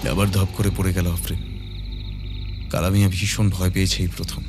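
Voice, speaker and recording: A man's voice: deep voice, little pitch movement, fairly quick, music in the background. A man narrating a scripted ghost story in a dramatic audio-story style.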